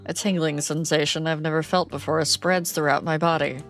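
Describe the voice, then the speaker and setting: A woman's voice: low-pitched, steady tone, a little fast, soft background music. A woman streamer reading a game aloud and reacting with playful, giggly commentary.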